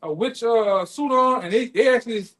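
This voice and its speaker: A man's voice: very high voice, normal speed, a bit bright. A man in casual, unscripted talk, colloquial and prone to repeating his phrases. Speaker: member of a street preaching group.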